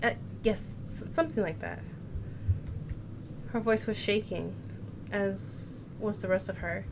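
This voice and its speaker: A woman's voice: medium pitch, unhurried, background noise. A woman streamer reading visual novel text aloud, expressively voicing the narration and character lines. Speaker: game streamer. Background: game music.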